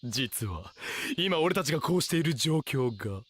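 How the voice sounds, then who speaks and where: A man's voice: fairly high pitch, sing-song, moderate pace, fairly bright tone, a little hiss or hum. A man playing a pompous, theatrical young self-styled mad scientist in an anime radio drama. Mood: triumphant boasting.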